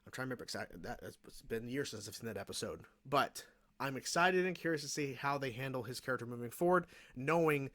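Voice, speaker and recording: A man with a mid-pitched voice talks casually as the host of a reaction video. He rambles through his review, correcting himself and hedging as he works out what he thinks. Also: animated, quick pace, a bit bright. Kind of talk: casual review commentary on a cartoon episode.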